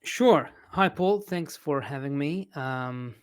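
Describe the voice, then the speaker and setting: A man with an Italian accent talks unhurriedly as a guest, in a fairly high and very clear voice. He hesitates and restarts often, and his voice rises and falls with feeling. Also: animated, takes it slowly. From Italy. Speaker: English teacher and writer, podcast guest. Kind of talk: casual interview chat.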